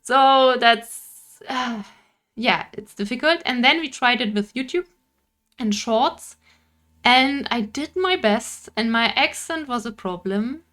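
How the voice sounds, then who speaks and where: A woman's voice: on the high side, animated, slow pace, a bit bright. Young woman, Bavarian German accent, indie game developer, casual to-camera talk, often laughs, searches for words.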